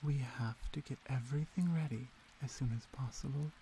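A woman voicing a dominant but caring female orc in an improvised ASMR roleplay, speaking softly, intimately and teasingly to the listener. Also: extremely low pitch, a little fast, a little hiss or hum, voice pushed down. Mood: teasing, firm.

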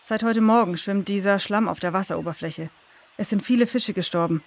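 A woman's voice: medium pitch, brisk, close to an everyday voice. A woman playing a busy, urgent field researcher in a children's audio drama. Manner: uses folksy idioms.

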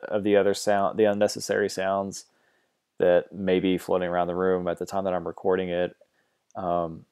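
A man giving a casual software tutorial, hesitant and full of ums and restarts, recorded on a mono home mic. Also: lowish voice, clean tone. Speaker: tutorial host.

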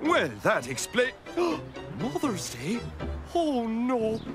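A bumbling cartoon police officer, easily rattled and slow on the uptake. The voice sits high and springy, drawn out at an unhurried pace. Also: female voice, wildly swooping pitch, music in the background, full character voice. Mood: flustered.

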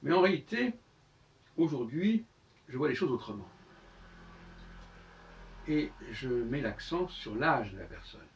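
An older man talks at length to a camera set back across a room full of books, so his voice sounds somewhat distant. His pitch sits in the middle range. He explains his points slowly and patiently, stopping often to restart a phrase. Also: lively intonation, slight background noise. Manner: halting, explanatory, many pauses and restarts. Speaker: astrologer giving a talk. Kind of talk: solo lecture to camera. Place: book-lined room indoors. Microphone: far camera on a tripod.

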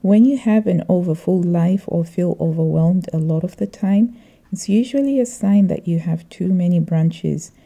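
Woman, middle-aged, very warm dark tone, creaky, measured reflective vlog narration. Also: lowish voice. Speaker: vlogger.

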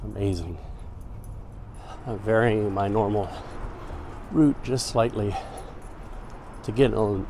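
A man with a lowish voice chats easily as he strolls, pointing things out along the way. He is recorded outdoors on a camera mic, with traffic and city noise clearly heard behind him. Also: slow, long pauses. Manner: relaxed, chatty, points out sights as he walks. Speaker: vlogger on a city walk. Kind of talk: casual walking tour commentary.

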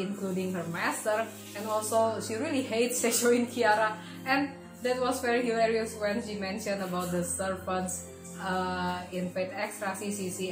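A young woman hosts a reaction video with casual commentary. Her voice sits at a middle pitch, recorded on a desk mic in an echoey room with music playing behind her. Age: young adult. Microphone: desktop condenser mic on arm. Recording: roomy echo, music behind.

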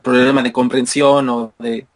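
A man's voice: mid-range voice, level tone, normal speed. A Mexican man hosting an interview in Spanish with a Mexican accent, asking loose, rambling questions full of fillers. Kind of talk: casual conversation.

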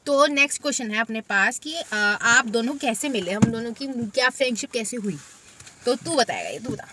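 A woman's voice: fairly high pitch, animated, moderate pace, background noise. A woman in her twenties chatting casually as a vlogger, reading out questions and laughing often, recorded in a parked car on a dashboard camera. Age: 20s. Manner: chatty, giggly, reads out questions. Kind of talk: casual Q&A chat. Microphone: camera phone on the dashboard.

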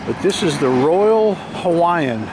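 A man's voice: fairly high pitch, expressive, slow. A man giving casual, off-the-cuff walking narration in a musing, observational way, as a vlogger behind a handheld camera. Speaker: vlogger filming an exploration of an abandoned motel. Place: outdoors, walking around a derelict motel lot. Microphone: handheld camera microphone, operator behind camera. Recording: noisy outdoor handheld camera, ambient roar.

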